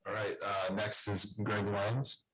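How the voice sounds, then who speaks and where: A man chairing a public meeting, giving matter-of-fact procedural instructions with small hesitant pauses. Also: on the low side, little pitch movement, a bit bright, low-quality recording. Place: indoor public board meeting room.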